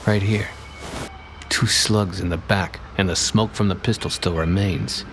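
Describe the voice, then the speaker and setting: An actor playing a wisecracking, hard-boiled 1940s detective with clipped, gruff, deadpan delivery. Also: male voice, sits low, a little slow, reverberant, background noise. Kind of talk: 1940s-style radio play acting.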